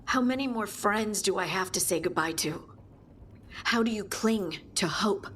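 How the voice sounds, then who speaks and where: A woman's voice: medium pitch, unhurried, fairly bright tone, a little hiss or hum, natural voice. An actress playing a loyal, burdened woman warrior in a fantasy video game, heartfelt and restrained in delivery. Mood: grieving. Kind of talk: dramatic video game acting.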